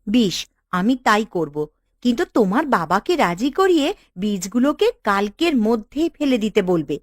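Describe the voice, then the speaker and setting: Woman playing a lively, loyal village girl. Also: mid-range voice, moderate pace, no echo, studio quality. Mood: reassuring, confident. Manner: reassuring, decisive. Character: supportive, confident young village woman, loyal friend. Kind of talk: Bengali cartoon dialogue.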